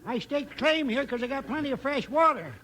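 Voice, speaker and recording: Folksy old desert hermit, very high sharp voice, swooping delivery, 1950s western radio. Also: male voice, expressive, brisk, heavily acted. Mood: rambling, content. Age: older. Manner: folksy, rambling, mishearing, chuckling frontier talk. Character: cheerful old hard-of-hearing prospector hermit, rambling and cantankerous. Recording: noisy vintage 1950s radio recording.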